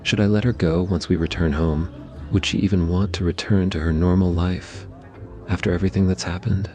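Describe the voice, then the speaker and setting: Very deep, smug mafia boss lover played by a man. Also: fairly even intonation, fairly quick, close to an everyday voice. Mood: pensive. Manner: soft, teasing, reassuring. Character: smug, teasing, affectionate mafia boss on a date. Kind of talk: romantic ASMR roleplay dialogue.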